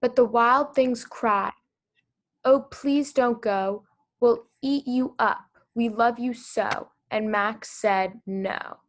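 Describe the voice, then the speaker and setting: A woman hosting a read-aloud for children over a video call, reading the story and asking them gentle questions. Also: on the high side, takes it slowly. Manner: gentle, encouraging, with small restarts.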